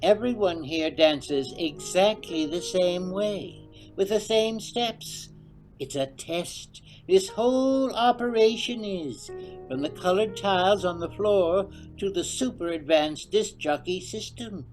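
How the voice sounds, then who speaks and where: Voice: mid-range voice, expressive, slow, darkish tone, faint music behind, poor audio. A performer voicing an eccentric, menacing male government agent with a theatrical, swooping delivery in an audio drama. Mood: sly, menacing.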